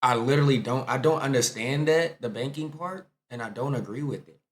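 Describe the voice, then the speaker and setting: A man's voice: medium pitch, a little fast. A young American man with an American accent hosting a casual reaction video, talking in laid-back slangy banter. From United States.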